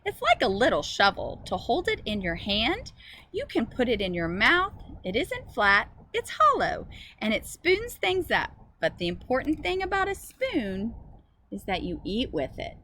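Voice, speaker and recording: A woman teacher reading a picture book aloud to young children in an expressive way, with simple phrasing. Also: on the high side, a little slow. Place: outdoors in a school garden. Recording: noisy outdoor recording with ambient sound.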